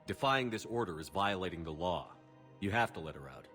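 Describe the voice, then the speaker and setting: A man's voice: deep voice, expressive, fairly quick, a bit bright, long reverb tail, soft background music. A man playing a terse, decisive military commander in a video game, with a curt, authoritative manner. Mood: firm. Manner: curt, matter-of-fact, authoritative.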